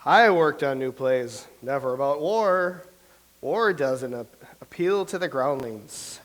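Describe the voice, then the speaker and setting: An actor playing a gloomy playwright from an older era who talks in a mournful, self-pitying way in a staged play reading. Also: male voice, mid-range voice, lively intonation, takes it slowly, a bit bright, poor audio. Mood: mopey.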